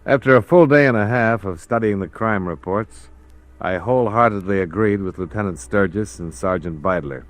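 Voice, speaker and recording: A cool, easygoing radio detective played by a man, with a lowish voice and wry, laconic delivery in 1950s style. The recording is close and dry, without room sound. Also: moderate pace, no room sound, soft background music, close to an everyday voice. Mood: measured, narrating. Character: cool, laconic male freelance insurance investigator.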